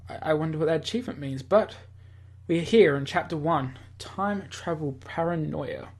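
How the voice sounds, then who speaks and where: A let's play host talks through a visual novel at a middling pitch. The delivery is rambling and jokey, with repeated words and stumbles between bits of read-aloud text. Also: normal speed. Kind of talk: gaming commentary with read-aloud dialogue.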